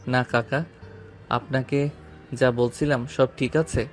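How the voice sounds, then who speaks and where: A mid-pitched, flat-toned voice, a man acting a young male company boss in an audio drama. He is self-assured and composed, laying out short deliberate phrases over quiet music. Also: natural voice. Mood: calm, assured.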